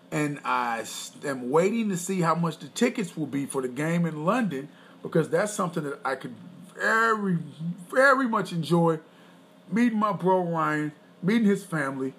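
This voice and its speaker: A man co-hosting a podcast with his wife, talking in a loose, boastful, rambling way and clearing his throat often. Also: a little high, a bit bright. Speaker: podcast co-host. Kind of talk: casual chat.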